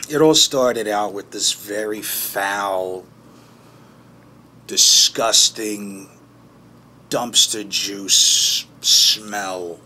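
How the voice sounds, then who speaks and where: A man playing a haunted, bitter man who recounts childhood trauma in a halting, foul-mouthed documentary-interview monologue. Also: lowish voice, sharp tone, a little hiss or hum, exaggerated voice. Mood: intense, reliving. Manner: halting, foul-mouthed, with pauses and emphatic bursts. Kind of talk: naturalistic dramatic monologue in interview form.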